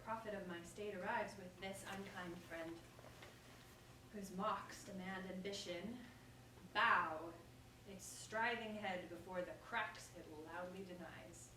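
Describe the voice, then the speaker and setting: A woman's voice: medium pitch, fairly even intonation, slow pace, close to an everyday voice. A woman playing a witty, proud, wounded young bride in a staged verse comedy, declaiming with sardonic bite. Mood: bitter, anguished. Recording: roomy, distant stage recording with faint noise.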